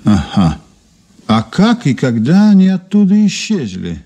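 An actor playing a composed investigator in a radio play, measured and dryly ironic. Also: male voice, on the high side, huge pitch swings, mellow, slightly rough, full character voice. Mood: businesslike, courteous. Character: composed, wry male investigator recounting an old case. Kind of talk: radio drama dialogue and narration.

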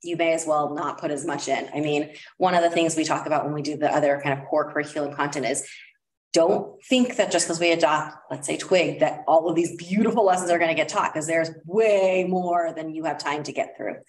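A woman's voice: sits low, a little fast. A woman presenting a curriculum recommendation at a school board meeting, talking casually with many fillers. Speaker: district administrator presenting curriculum. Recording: echoey room, voice far from mic.

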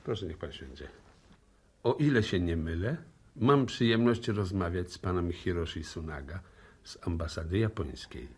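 An actor playing a probing, politely insistent police inspector in a radio play, formal and dry in manner. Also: male voice, low-pitched, expressive, normal speed, a little mellow, husky. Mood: polite, businesslike. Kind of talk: 1960s Polish radio play acting.

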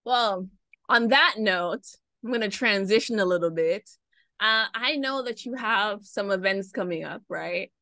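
A woman's voice: mid-range voice, a little slow, sharp tone, dry recording. A young woman hosting an interview over a video call, reflective and conversational. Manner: reflective, conversational, uses "right?" often. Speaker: podcast host. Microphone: video call webcam.

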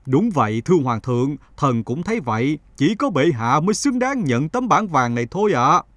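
A man's voice: fairly high pitch, very animated, moderate pace, a bit bright, no echo. Man playing a fawning, formal courtier. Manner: obsequious, formal. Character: fawning adult male courtier flattering the emperor. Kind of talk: cartoon fable acting.

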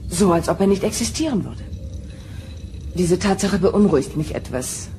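An actress playing a calm, perceptive starship counselor in an audio drama, measured and earnest. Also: female voice, fairly low pitch, faint music behind. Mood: calm, concerned. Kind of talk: German audio drama acting.

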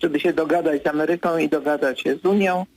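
An older man, a political commentator, answering as a guest in a radio studio interview, hesitant with many fillers, then firm and brisk. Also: a little high, steady tone, a little mellow, faint music behind.

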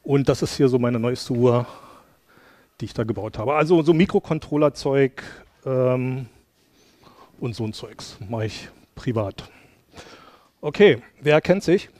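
A man's voice: mid-range voice, measured pace, long pauses, darkish tone. A middle-aged man from Brandenburg giving a casual conference talk in a lecture hall, chatty and rambling, with many fillers and restarts. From Brandenburg, Germany. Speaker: conference speaker. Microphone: headset mic.

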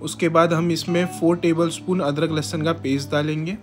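Chatty young man with a higher voice. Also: little pitch movement, normal speed. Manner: chatty, friendly, step-by-step explaining with frequent fillers. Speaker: cooking channel host. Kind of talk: voice-over recipe narration. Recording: soft guitar music behind.

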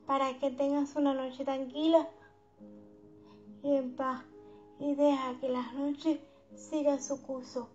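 A woman hosting a guided meditation for sleep, speaking in a soothing, unhurried, affectionate manner. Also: high-pitched, flat delivery, darkish tone. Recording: dull lo-fi sound under background music.

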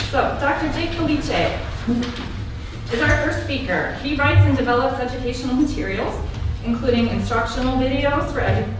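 A woman giving a chatty welcome and introduction to a live audience in a large hall, with plenty of filler words, heard from a distant camera. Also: mid-range voice. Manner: warm, chatty, frequent um fillers. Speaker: event organizer from the dispensary. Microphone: far camera, no microphone. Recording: very echoey hall, voice from far off, clear background noise.

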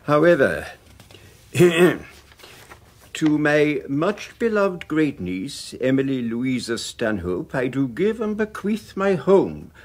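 A stuffy old solicitor in a radio drama. He is formal and pompous, lingering very slowly over words with animated intonation. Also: a little high, exaggerated voice. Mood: formal, ponderous. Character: fussy, stuffy old family solicitor reading a will.

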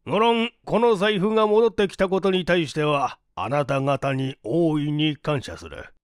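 A performer voicing a genial, naive, well-off older gentleman with a leisurely, pompous, old-fashioned way of talking in a storybook reading. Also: mid-range voice, lively intonation, a little slow, no echo, clean studio sound, natural voice. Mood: pleased, self-satisfied. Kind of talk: dramatized literary reading.

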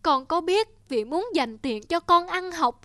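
A woman's voice: very high-pitched, animated, measured pace, sharp tone, slight background noise, heavily acted. A woman playing a worried, loving middle-aged village mother in a cartoon drama, speaking plaintively and earnestly. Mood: shocked, heartbroken. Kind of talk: animated moral drama.

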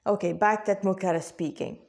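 A woman reading her own story aloud in an informal, expressive way, with casual asides. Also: medium pitch, a little fast, gravelly.

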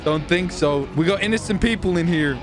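A man bantering casually and excitedly in video game roleplay, with a cocky, taunting, repetitive manner. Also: high-pitched, a little fast, music in the background. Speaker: streamer roleplaying as gang leader in a video game.

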